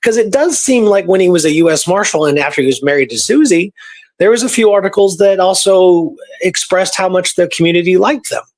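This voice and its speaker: Male podcast host, slightly high voice, informal and explanatory history chat. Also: a little fast, darkish tone. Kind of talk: casual conversational history talk.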